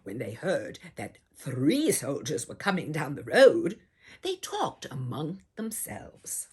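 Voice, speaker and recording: A woman reading a children's storybook aloud in a theatrical, deliberate way, as if addressing young listeners. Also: low voice, wildly swooping pitch, a little mellow, husky. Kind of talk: performed children's storybook narration.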